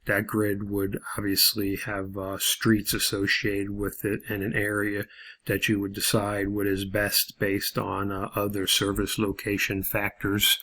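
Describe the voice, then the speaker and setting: A man's voice: on the low side, completely flat delivery, measured pace, sharp tone. A man giving an informal video lesson as an instructor, explaining methodically step by step in a hesitant way.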